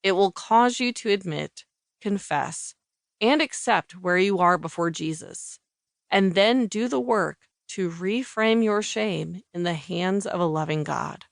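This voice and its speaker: A woman's voice: fairly low pitch, measured pace, gravelly, dry recording, clean studio sound. A woman reading scripted audiobook narration.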